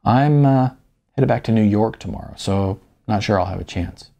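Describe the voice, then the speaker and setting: A man reading the audiobook dialogue of an evasive, awkward aging male journalist, halting and hedging. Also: on the low side, creaky, warm tone, muffled recording.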